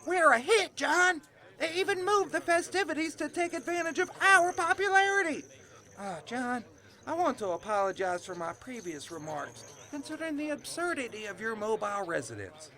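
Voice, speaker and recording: A man's voice: very high voice, sing-song, moderate pace, pushed and bright, full character voice. A man playing an eager farm boy in a comic audio drama, speaking in grand, effusive, formal phrases. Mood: excited, triumphant. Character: eager, high-voiced farm boy, florid and earnest.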